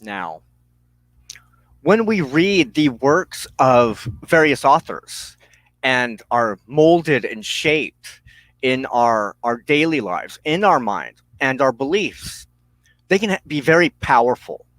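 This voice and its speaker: A man speaks slowly and seriously in a reflective monologue, as the host of a spiritual broadcast. He breaks his thoughts into short, deliberate phrases with pauses between them. His medium-pitched voice sounds close and dry, with no room around it. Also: very animated, fairly bright tone, dry recording, poor audio. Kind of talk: reflective spoken monologue on personal belief.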